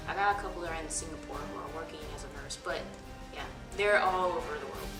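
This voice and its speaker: A woman talks through interview answers in a casual, rambling way, stopping and restarting often and slipping in light laughs. Her voice sits a touch low for a woman. The mic is far off in an echoey room, with music playing clearly behind her. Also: fast talker. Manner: hesitant, rambling, many fillers and restarts. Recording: roomy echo, distant mic, music behind.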